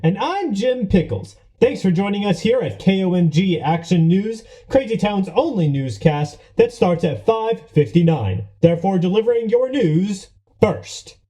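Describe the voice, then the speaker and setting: Husky, mellow man playing a news co-anchor. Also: high-pitched, sing-song, measured pace, exaggerated voice. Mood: earnest, newsy. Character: earnest deadpan news co-anchor Jim. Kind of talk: mock newscast delivery.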